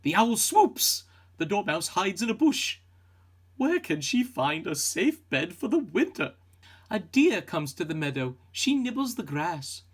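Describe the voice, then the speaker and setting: A man narrating a children's picture book in a measured, suspenseful and expressive storytelling manner. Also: sits high, breathy edge.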